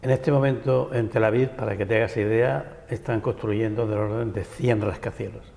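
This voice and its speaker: A man's voice: lowish voice, steady tone, normal speed, vocal fry, mellow, big-room sound. A man from Barcelona with a Peninsular Spanish accent, a writer and architect giving long, winding answers as a guest in a TV interview. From Barcelona, Spain. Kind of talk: TV interview conversation. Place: local TV studio.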